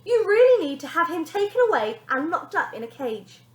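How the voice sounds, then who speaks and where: A woman voicing a bossy, rude young girl who demands and shouts orders in a storybook reading. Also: very high voice, very animated, brisk, roomy sound, pitched above the performer's own voice. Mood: indignant.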